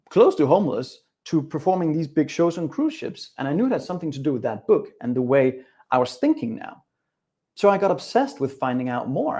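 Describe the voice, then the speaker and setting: A man's voice: medium pitch, darkish tone. Danish-accented man coaching a live webinar. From Denmark. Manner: upbeat, direct, addresses viewers and chat.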